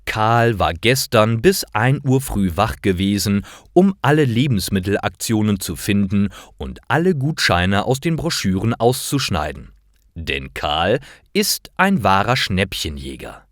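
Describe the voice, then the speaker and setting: A man reading scripted stories for language learners, narrating carefully in clearly separated phrases. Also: lowish voice, dry recording, studio quality. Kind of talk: scripted narration, slow learner-friendly storytelling.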